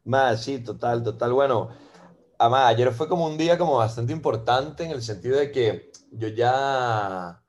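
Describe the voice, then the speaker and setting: A Venezuelan-born man in his thirties with a Costa Rican accent, chatting casually as a podcast guest and filling pauses with "ma" and "eh". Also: mid-range voice, a little hiss or hum. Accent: Costa Rican Spanish. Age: 30s. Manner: relaxed, fills with "ma" and "eh". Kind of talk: casual interview chat.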